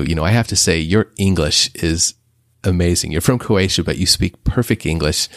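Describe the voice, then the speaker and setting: A man's voice: deep voice, gravelly, dry recording, clean studio sound. A man hosting an interview, asking questions and giving short encouraging replies. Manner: encouraging, brief affirmations. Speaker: interviewer host.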